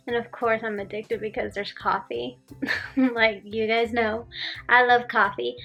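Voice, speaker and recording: A young woman from Georgia talks to camera in a rambling, giggly way. She speaks slowly with a fairly high voice, and the recording picks up her home kitchen with faint music underneath. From Georgia, USA. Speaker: lifestyle vlogger. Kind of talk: casual vlog chat to camera.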